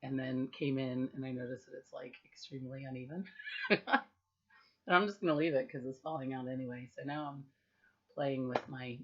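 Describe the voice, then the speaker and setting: A woman with a hoarse, husky voice after losing it, giving a candid, rambling personal update at home and laughing at herself now and then. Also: a bass voice, steady tone, normal speed, a little hiss or hum. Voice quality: husky, strained. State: hoarse, recovering from lost voice. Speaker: vlogger giving a health update. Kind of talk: casual personal update to camera. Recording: dull lo-fi home recording.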